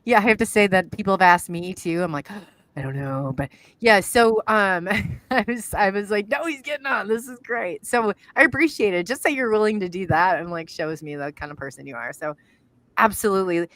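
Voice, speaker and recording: A woman's voice: mid-range voice, moderate pace, a bit bright, no echo. A middle-aged woman with an Iowan accent hosts a casual live-stream chat, talking in a rambling way that trails off as she works the screen. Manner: chatty and rambling, trails off while working the screen. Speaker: podcast host. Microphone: video call.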